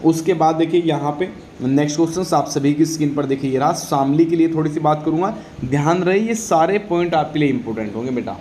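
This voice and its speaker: A man's voice: on the high side, fast, a little mellow. A man teaching exam material informally, often repeating questions and answers and checking that students follow. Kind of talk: informal lecture on exam general knowledge. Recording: echoey room with faint background noise.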